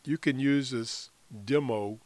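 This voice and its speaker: A man explains software in an unscripted screencast tutorial, halting often in mid-sentence as he goes. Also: mid-range voice, no echo. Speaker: amateur radio hobbyist presenting a tutorial.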